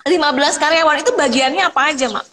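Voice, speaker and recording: A woman's voice: sits high, fast, fairly bright tone. A woman hosting a video-call interview as a business coach, enthusiastic and full of exclamations. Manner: enthusiastic, repeats Masya Allah.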